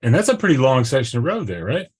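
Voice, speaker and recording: A man's voice: mid-range voice, big pitch swings, quick pace, slightly rough. A man interviewing a truck driver and asking tentative, trailing questions.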